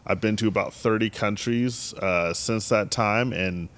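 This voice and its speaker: A man's voice: on the low side, unhurried, a bit bright. A young man, a church creative director, eagerly telling stories in a casual podcast chat. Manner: enthusiastic storyteller, acts out quotes.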